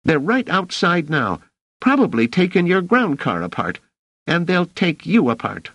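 Squeaky street urchin boy, acted by a man. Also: very animated, a little slow, a bit bright, no room sound, full character voice. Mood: defiant. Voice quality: squeaky, shrill. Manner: slangy street talk with dropped endings. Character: scrappy, loyal young street urchin boy, excitable and defiant.

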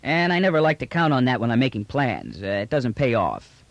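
Man acting a space trader, cheeky, unruffled, slangy, very animated pitch, close dry sound, audiobook character. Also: fairly quick, creaky, no room sound. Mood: easygoing, frank. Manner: bland, cheeky, slangy, colloquial. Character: brash, unruffled bearded male space trader prisoner, slangy.

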